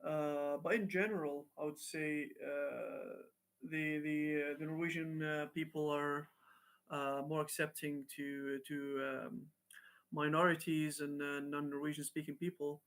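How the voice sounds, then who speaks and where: Man from palestine, higher voice, halting, lots of fillers, interview answers. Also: steady tone, fairly bright tone. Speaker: guest activist.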